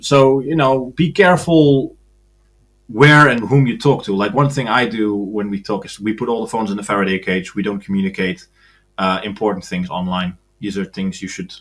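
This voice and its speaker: A man from Belgium speaks in a fairly low voice as a podcast guest. His delivery is matter-of-fact, and he slips in sardonic asides. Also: fairly bright tone. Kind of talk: casual podcast conversation.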